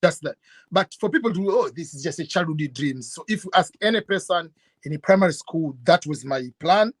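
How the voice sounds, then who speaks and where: A middle-aged man from Tanzania, a guest telling his story in an interview in an earnest, measured way. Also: on the high side, lively intonation, no room sound. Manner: earnest, measured, with fillers and restarts. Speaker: guest YouTuber.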